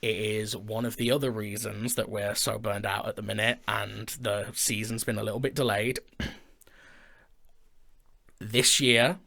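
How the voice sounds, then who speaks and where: A man's voice: fairly low pitch, little pitch movement, measured pace, creaky, sharp tone. A man co-hosting a casual studio chat about his creative work, speaking in an informal, enthusiastic way. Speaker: co-host, audio drama creator and film director. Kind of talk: casual chat, announcing projects. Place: indoor studio.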